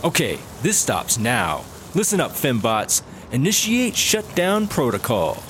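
An actor playing a breezy, argumentative, cocky slacker cop in a sci-fi comedy audio drama. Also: male voice, mid-range voice, huge pitch swings, a little slow, fairly bright tone, a little hiss or hum. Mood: overconfident. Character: cocky, laid-back, hungry buddy-cop slacker.

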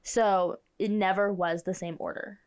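A woman's voice: mid-range voice, steady tone, unhurried, a little mellow, clean tone. A young woman co-hosting a podcast, chatting casually with lots of "like" and frequent laughter. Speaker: podcast co-host. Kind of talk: casual chat.

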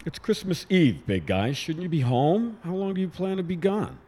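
An actor playing a middle-aged scientist father in an audio drama, casual, wry and gently persistent. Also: fairly high pitch, expressive, moderate pace, in a large hall. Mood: playful, fatherly. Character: warm, eager father and scientist, a concerned friend. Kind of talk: naturalistic audio drama acting.

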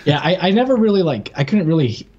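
Slightly husky male podcast co-host. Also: on the high side, big pitch swings, fast, a little mellow, highs cut off. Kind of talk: casual chat, relaying live chat comments.

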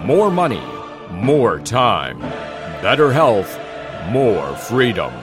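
A man giving a scripted show introduction in a slow, booming announcer delivery. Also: big pitch swings. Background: music.

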